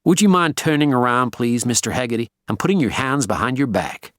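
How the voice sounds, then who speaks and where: A man voicing an old hired gunman in an audiobook, coldly polite, menacing and deliberate in his speech. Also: medium pitch, expressive, fairly bright tone, dry recording, studio quality, pitched above the performer's own voice, natural voice. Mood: polite threat. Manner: slow, deliberate, coldly courteous.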